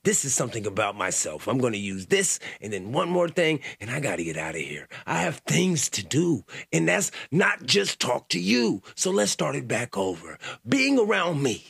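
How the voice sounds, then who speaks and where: A gravelly, husky older man rants through a podcast with loud, profane reactions and stumbling restarts. His pitch swoops up and down as he fires off questions. The sound is dry and close. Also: big pitch swings, normal speed, few pauses, no echo. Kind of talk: casual commentary reacting to clips.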